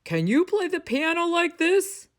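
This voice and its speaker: An excitable, self-important storybook toad, acted out by a woman reader. The voice sits very high and swings widely, exclaiming with big, anxious drama. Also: unhurried, full character voice. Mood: boastful. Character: excitable boastful male toad, anxious for his friend. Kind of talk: animated storybook read-aloud.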